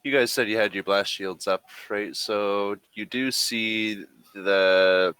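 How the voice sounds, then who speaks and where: A man running a tabletop game as game master, talking casually and haltingly as he thinks rules through aloud. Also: on the low side, steady tone, pushed and bright, smooth.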